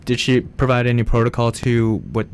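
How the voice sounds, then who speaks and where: A man's voice: mid-range voice, level tone, normal speed, a little hiss or hum. A man hosting a community radio show, asking questions and joking with his guest. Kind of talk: casual radio interview. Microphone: radio studio microphone.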